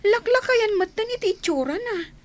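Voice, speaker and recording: A woman acting a friendly young volunteer teacher in an Ilocano radio drama. Her voice is high and her accent is Ilocano. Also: expressive, normal speed. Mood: concerned.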